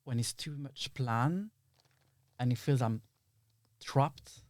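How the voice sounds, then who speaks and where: A man's voice: mid-range voice, slow, a little mellow, no echo. Reflective male podcast guest. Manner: rambling, reflective, quotes imagined dialogue. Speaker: interview guest, filmmaker. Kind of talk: casual podcast interview.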